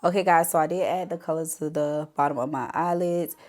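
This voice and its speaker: A woman with a notably low voice talks through a makeup tutorial in a loose, chatty way, addressing viewers directly. Her voice sounds stuffy and congested, as she is getting over a cold. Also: steady tone, fairly quick, a little mellow. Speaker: beauty vlogger.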